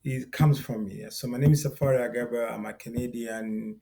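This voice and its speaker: A man's voice: mid-range voice, fairly even intonation, a little fast, gravelly, dark tone. A middle-aged man from Uganda, talking earnestly and directly to viewers as a course instructor, often repeating himself. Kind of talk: direct-to-camera course talk.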